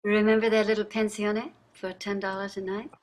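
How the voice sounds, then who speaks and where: A woman's voice: medium pitch, level tone, fairly quick, close to an everyday voice. An older woman playing a wealthy, weary and sardonic wife and mother in a staged play reading, pointed and dramatic in her delivery. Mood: nostalgic.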